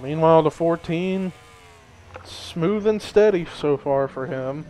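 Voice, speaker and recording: A man's voice: on the high side, slow pace, warm tone, rough recording. Upbeat young male race caller. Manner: chatty, lifts energy at race calls. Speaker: race commentator. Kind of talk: casual play-by-play of a simulated race. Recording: faint background noise.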